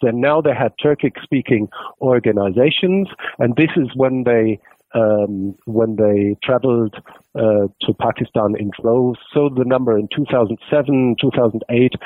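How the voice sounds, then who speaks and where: A man's voice: mid-range voice, no echo. A man from Germany, a guest on a radio interview, explaining at length with frequent hesitations and restarts. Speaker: expert guest. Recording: tinny dry phone line.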